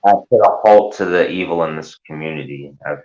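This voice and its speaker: A man's voice: a bass voice, brisk, darkish tone, muffled recording. A man hosting a casual chat show, stammering and mock-earnest. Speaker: podcast host.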